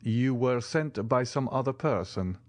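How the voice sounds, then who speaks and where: A measured, composed count, polite and in control, with a lively lift in his phrasing. A man reads him in a storybook. The sound is dry and close. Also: medium pitch, no room sound, pitched above the performer's own voice, close to an everyday voice. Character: cool, composed wealthy count.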